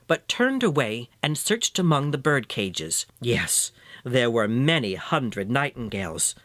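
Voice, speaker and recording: A male storyteller reads a fairy tale with measured, expressive delivery. His pitch is medium and swings widely from phrase to phrase. The recording is close and dry. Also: no echo. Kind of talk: scripted fairy tale narration.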